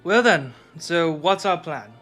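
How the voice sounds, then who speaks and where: A man's voice: a little high, animated, slow, pushed and bright, faint music behind, exaggerated voice. A man playing a practical, mildly exasperated adventurer in a fantasy radio drama.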